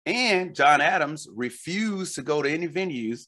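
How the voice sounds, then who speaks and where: A man's voice: a little high, expressive, normal speed, pushed and bright. A man in his forties co-hosting a podcast, chatting casually and telling jokey stories. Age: 40s. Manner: jokey, drawling storyteller. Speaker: podcast co-host. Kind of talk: casual chat.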